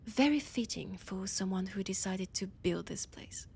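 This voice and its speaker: A woman's voice: mid-range voice, lively intonation, measured pace, creaky, a little mellow, a little hiss or hum. A woman with a French accent voicing an annoyed, imperious vampire scholar in an intimate audio roleplay, haughty and commanding, often slipping into a whisper. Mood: haughty, storytelling.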